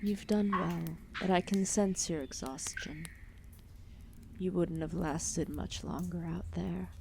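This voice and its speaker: A woman voicing an ancient, lethargic serpent goddess, protective and calmly menacing, in a formal, soothing, intimate ASMR roleplay with whispered phrases. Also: on the low side, slow pace, creaky, background noise. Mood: soothing, drowsy. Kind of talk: ASMR roleplay, intimate soft-spoken acting.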